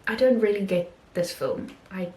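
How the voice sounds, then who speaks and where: A woman's voice: medium pitch, expressive, normal speed, warm tone. Hesitant woman reviewing films, roomy sound. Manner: hesitant, many ums and restarts. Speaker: film vlogger. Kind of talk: casual film review talk.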